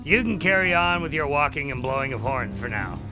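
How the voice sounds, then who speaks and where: An actor playing a sarcastic, irreverent adventurer in an audio drama, with a dry and casual, wisecracking manner. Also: male voice, mid-range voice, expressive, fairly quick, music in the background, lightly acted, poor audio. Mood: wry. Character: sarcastic, irreverent male adventurer living in the moment.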